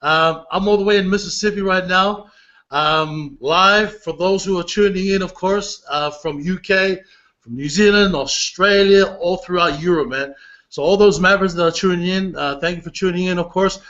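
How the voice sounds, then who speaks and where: A man's voice: sits high, moderate pace, fairly bright tone, dull audio. A man with a Southern accent hosting a casual online hangout, hyped and repeating "of course" and "guys". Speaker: livestream host.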